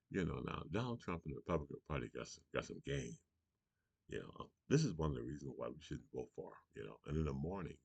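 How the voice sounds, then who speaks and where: A man's voice: extremely low pitch, creaky, no room sound. A man from Charleston, South Carolina, hosting a morning show with a rambling political monologue, often saying "you know" and laughing between points.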